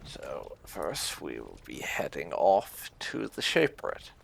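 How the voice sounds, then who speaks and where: A man giving casual let's play commentary as a gaming channel host, halting and dry in delivery. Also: extremely low pitch, huge pitch swings, vocal fry, slightly rough, whispered.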